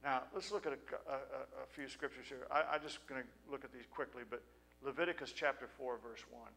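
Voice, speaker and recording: Bright-voiced middle-aged man preaching. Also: medium pitch, creaky, rough recording. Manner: earnest, conversational, with halting restarts. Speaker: pastor. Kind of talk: sermon with scripture reading. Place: small church sanctuary. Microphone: pulpit stand mic.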